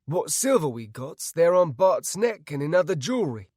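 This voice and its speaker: A gruff, no-nonsense village leader barking plain, salty words, as a man puts him on. His voice rides higher and louder than the narrator's, with plenty of rise and fall. Dry, close sound. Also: big pitch swings, normal speed, no echo. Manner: blunt, forceful, salty. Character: gruff middle-aged male village leader.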